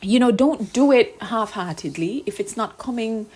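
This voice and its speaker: A woman giving a gentle, soothing self-care reflection, sometimes dropping to a whisper. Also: fairly high pitch, animated, moderate pace, breathy edge. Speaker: segment presenter.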